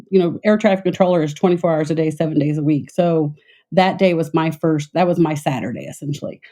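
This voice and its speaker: Mellow-voiced woman, former air traffic controller. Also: low-pitched. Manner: measured, frequent fillers and restarts. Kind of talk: conversational interview storytelling.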